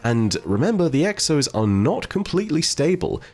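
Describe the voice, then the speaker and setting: A man giving video game lore commentary in a measured, explanatory, wordy way. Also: fairly high pitch, very animated, darkish tone. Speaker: video host. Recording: music behind the voice. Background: background music.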